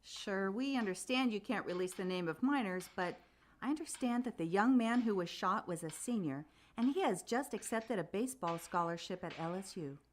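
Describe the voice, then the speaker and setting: An actress playing a composed, probing news reporter conducting a formal interview in a radio play. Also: female voice, mid-range voice, expressive, moderate pace, a little hiss or hum, close to an everyday voice.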